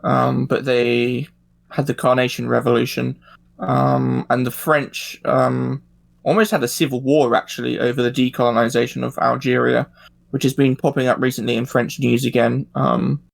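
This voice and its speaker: A man in a casual panel discussion, speaking informally and haltingly with pauses mid-phrase. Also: mid-range voice, level tone, creaky.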